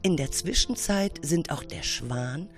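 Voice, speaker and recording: A woman narrating a children's story expressively, with dramatic pauses. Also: low voice, animated, soft background music.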